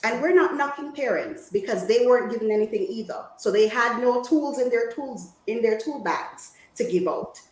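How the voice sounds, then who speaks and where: A woman's voice: on the high side, lively intonation, normal speed, hardly any pauses. A woman from Jamaica giving an informal, preacher-like health talk, engaging her listeners with questions. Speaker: guest women's health speaker. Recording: echoey room sound.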